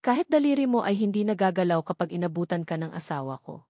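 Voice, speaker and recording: A woman's voice: on the low side, expressive, fast, warm tone, dry recording, studio quality. A woman voicing a tough, defiant captive wife, bold and scornful, in a storybook reading. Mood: defiant, cold.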